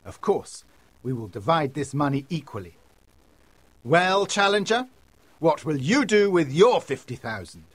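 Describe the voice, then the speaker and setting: A genial storybook lord and adventurer, acted by a male narrator. His voice sits fairly high, and he confides things with brisk assurance. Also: sing-song, breathy edge, no echo, exaggerated voice. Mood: confiding, pleased. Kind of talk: audiobook character voice.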